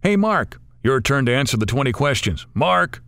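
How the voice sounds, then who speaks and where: A man's voice: medium pitch, big pitch swings, normal speed, creaky, fairly bright tone, smooth, no room sound, clean studio sound, pitched above the performer's own voice. A man voicing a teasing, wisecracking male friend. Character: teasing male buddy floating on the water.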